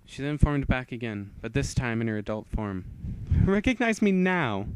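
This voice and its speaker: A man reads a scripted story aloud in a clear, smooth voice. His pitch sits in an ordinary male middle range. The delivery is halting, with phrases often begun again. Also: a little mellow, rough recording. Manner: halting, stumbles and rereads phrases. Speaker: amateur story reader. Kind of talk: scripted fan fiction narration.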